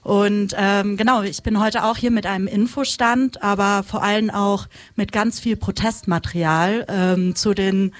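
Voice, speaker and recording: A woman's voice: mid-range voice, fairly even intonation, measured pace, hardly any pauses. A woman activist speaking informally as she introduces herself at a live panel. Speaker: activist from an anti-racism initiative.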